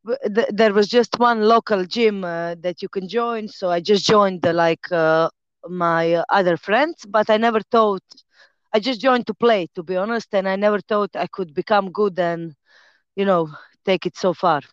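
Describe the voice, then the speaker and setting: A woman in her thirties from Croatia with a Croatian accent, a professional fighter and trainer chatting as a podcast guest, relaxed and quick to laugh, pausing often on "uh". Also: medium pitch, clear, resonant tone, dry recording, lo-fi sound. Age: 30s. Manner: relaxed, laughs easily, many "uh" pauses in English. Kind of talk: casual interview chat.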